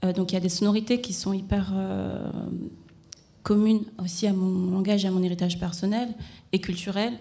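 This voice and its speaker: A woman's voice: mid-range voice, fairly even intonation, a little fast, vocal fry, mellow. A woman from France, an artist answering interview questions in a reflective, conversational way.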